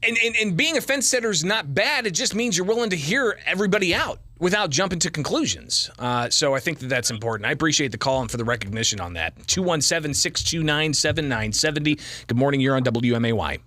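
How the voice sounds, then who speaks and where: A man's voice: on the high side, animated, fast talker, few pauses, pushed and bright, dry recording. An American man hosting a live radio call-in show, chatting casually into a close studio mic. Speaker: radio talk show host. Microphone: close broadcast studio mic.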